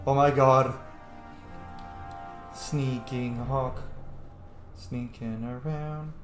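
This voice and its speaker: A man's voice: medium pitch, steady tone, very slow, echoey room, faint music behind. A man giving casual, playful gameplay commentary with jokey sing-song asides. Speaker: let's player.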